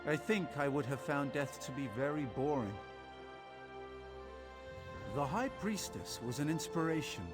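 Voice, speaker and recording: A man's voice: a little high, expressive, a little slow, pauses often. An actor playing a courteous, wry male former foe in a video game, with a polite and dryly droll manner. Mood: reverent. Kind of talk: scripted video game dialogue. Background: game music.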